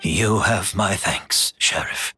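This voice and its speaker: Husky, slow-speaking old man. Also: low voice, sharp tone, faint music behind, full character voice. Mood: resigned, courteous. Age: older. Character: weary, wry old man, calm and calculating. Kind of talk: dramatic game voice acting.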